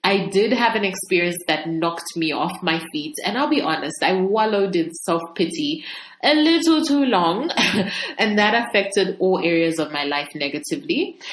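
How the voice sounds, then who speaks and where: Female podcast host, slow-paced, mid-pitched, expressive solo monologue straight to the listeners, recorded in an echoey room. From Zambia. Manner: conversational, expressive, laughs often. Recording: echoey room, faint background noise.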